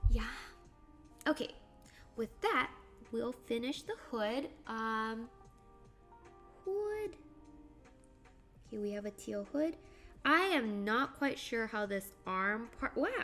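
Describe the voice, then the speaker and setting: A bright, bubbly woman in her late twenties, pitched a little high, chatting with viewers while she sketches. She reads their comments aloud and squeals with excitement. Soft music plays quietly behind her. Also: takes it slowly, long pauses. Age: late 20s. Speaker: livestream host. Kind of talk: casual chat while drawing on stream.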